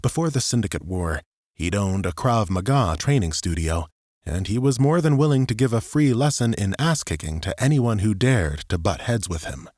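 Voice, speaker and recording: A man narrates dramatic fiction for an audiobook. His voice is fairly low, and the recording is very dry and close, with no room sound. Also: expressive, moderate pace, a little mellow, dry recording.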